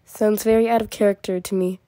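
A woman's voice: medium pitch, steady tone, fairly quick, warm tone, no echo, close to an everyday voice. A woman voicing a smug genius inventor turtle in a cartoon comic dub, dry and theatrically formal. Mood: deadpan. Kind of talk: fan comic dub acting.

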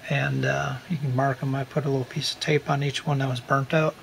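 A man's voice: mid-range voice, a little fast, vocal fry. A man narrating a hands-on repair tutorial in a casual, folksy, informal way, recorded at home on a handheld camera. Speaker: repair video host. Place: room at home. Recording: steady hum and room noise.

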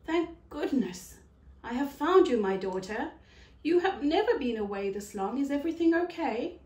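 A kind father kangaroo gives steady, reassuring advice, as a woman reading aloud puts him on. He is a wise, gentle storybook parent, and his voice sounds roomy. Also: high voice, normal speed, pitched above the performer's own voice. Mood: relieved.

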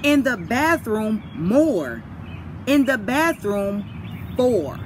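Female teacher, thirties, dramatic picture-book read-aloud; slow, lively delivery, outdoors. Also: fairly high pitch. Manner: deliberate, dramatic. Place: outdoors by a brick house. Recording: outdoor ambient noise, birds, vehicles.

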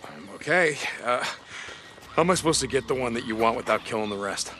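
A man in his thirties with an American accent, playing a gruff, sardonic drifter biker in a video game. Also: medium pitch, animated, fairly quick, pushed and bright, soft background music. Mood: puzzled. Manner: gruff, muttering, casual. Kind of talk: naturalistic video game acting.

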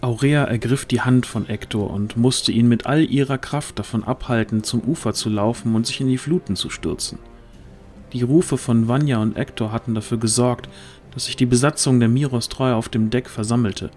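A man's voice: medium pitch, steady tone, normal speed, darkish tone. A man narrating a fantasy audio drama as a scripted storyteller. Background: music.

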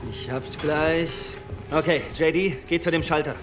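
A man voicing a cocky, joking young soldier in a dubbed game, with loud music behind. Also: a little high, fairly even intonation, a little slow, natural voice. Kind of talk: German game dub.

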